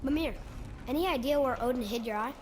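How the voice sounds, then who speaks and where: A man's voice: very high-pitched, fast, a little hiss or hum. A young male actor playing a curious, earnest boy in a video game. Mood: inquisitive. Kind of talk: video game character acting.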